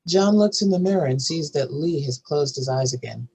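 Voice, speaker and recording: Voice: mid-range voice, animated, normal speed, mellow, a little hiss or hum, rough recording. A narrator reading out scene headings and stage directions at a screenplay table read.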